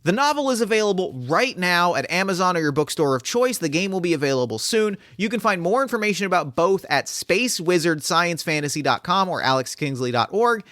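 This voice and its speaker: A man with a somewhat high voice speaks as an enthusiastic podcast host. His delivery is emphatic and effusive, with casual swearing. The sound is very dry, with hardly any room on it. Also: very animated, brisk, hardly any pauses, bright, forceful tone, dry recording. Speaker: podcast host and game master. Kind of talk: enthusiastic podcast intro and chat.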